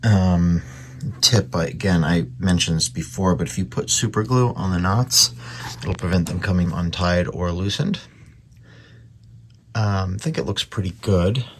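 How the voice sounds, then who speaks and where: A man's voice: low voice, a little slow, a little hiss or hum. A man narrating a hands-on repair in a casual, unscripted way, thinking aloud with some hesitation. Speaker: radio repair hobbyist presenting his work.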